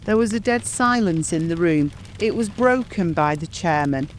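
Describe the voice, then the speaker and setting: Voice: mid-range voice, expressive, normal speed, a little hiss or hum. A narrator reading a scripted audiobook story.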